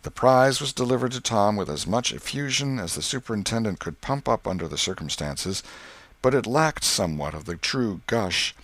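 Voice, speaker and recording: A man narrating a literary story as an audiobook, in a measured, expressive and wry manner. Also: lowish voice, dry recording.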